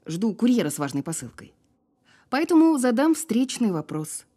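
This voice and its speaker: Mellow, guarded, sardonic woman. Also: fairly high pitch, very animated. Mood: suspicious, sardonic. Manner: dry, sardonic, unhurried sparring. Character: wry, guarded woman who survived a fall. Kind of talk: naturalistic audio drama acting.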